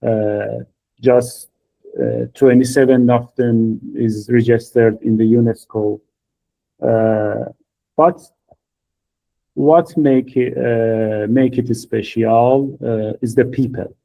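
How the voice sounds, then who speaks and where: A man from Iran talking on a podcast, hesitant and full of filler pauses, in English. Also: medium pitch, steady tone, gravelly, dark tone. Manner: halting, many filler pauses, non-native phrasing. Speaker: podcast co-host. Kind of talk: podcast conversation. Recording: phone-like thin audio.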